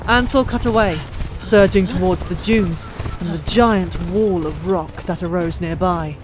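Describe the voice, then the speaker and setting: A woman's voice: lowish voice, unhurried, background noise. A woman narrating a fantasy audiobook in a dramatic, theatrical storytelling style. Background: music.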